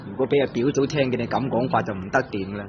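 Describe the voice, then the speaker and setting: A man's voice: mid-range voice, fast, lightly acted. A man playing a breezy young bachelor clerk. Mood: earnest. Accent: Hong Kong Cantonese. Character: young unmarried male government clerk, carefree and sensible. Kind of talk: 1960s Hong Kong radio drama acting. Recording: dull old radio broadcast with faint hiss.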